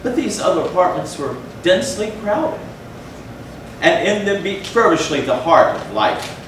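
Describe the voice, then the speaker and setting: A man performing a dramatic stage reading of a gothic tale, with measured, theatrical phrasing and deliberate pauses. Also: sits high, very animated. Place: large echoey room, live stage performance. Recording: echoey hall from far off, noisy.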